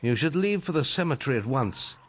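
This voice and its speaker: An actor playing a grave older guild master and disappointed mentor, solemn and earnest in a dramatic video game style. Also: male voice, medium pitch, lively intonation, a little fast, very noisy, close to an everyday voice. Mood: pleading, disappointed. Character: grave old male guildmaster, disappointed mentor pleading for peace.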